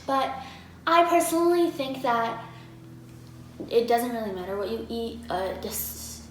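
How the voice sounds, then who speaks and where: Chatty teenage girl in an echoey room. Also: a little high. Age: teens. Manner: chatty, frequent fillers like "like" and "um". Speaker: vlogger reacting to a video. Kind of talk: casual reaction commentary. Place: home room, indoors. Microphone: desk mic in front of her. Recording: roomy echo with faint background hum.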